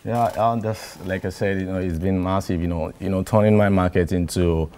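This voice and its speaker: A man's voice: low voice, moderate pace. A young man, a business owner guest, talking casually with lots of "you know". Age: young adult. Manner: relaxed, filler-heavy, "you know". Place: TV studio. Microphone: lapel.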